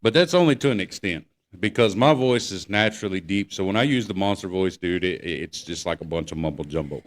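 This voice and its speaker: A man's voice: low-pitched, brisk. A man chatting casually as a podcast co-host, laid-back and full of slang. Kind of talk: casual chat.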